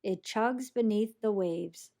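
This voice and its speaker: A woman reading a children's storybook aloud in an expressive, animated way that acts out the action. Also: mid-range voice, takes it slowly, vocal fry. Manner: animated, acts out sound effects and character lines. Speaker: storybook reader.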